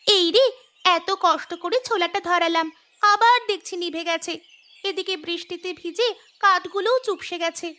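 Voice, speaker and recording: Woman putting on a very high-pitched excitable village child. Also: normal speed. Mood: complaining. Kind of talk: Bengali fairy tale cartoon acting. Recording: music under the voice.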